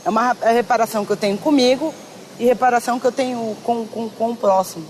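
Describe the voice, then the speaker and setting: A woman giving reflective, halting interview testimony, often restarting her words. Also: on the high side, darkish tone. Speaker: interviewee, author. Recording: noisy background.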